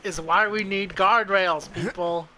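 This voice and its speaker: A man's voice: high voice, steady tone, a little slow, bright, forceful tone. A man doing casual gameplay commentary as a streamer, blunt, profane and mocking. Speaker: video game streamer. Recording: faint game music behind the voice.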